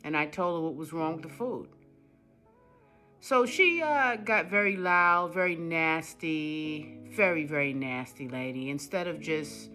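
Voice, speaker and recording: Indignant woman with bright voice. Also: low-pitched. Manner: indignant, repetitive, emphatic. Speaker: customer telling her story. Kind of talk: casual monologue to camera.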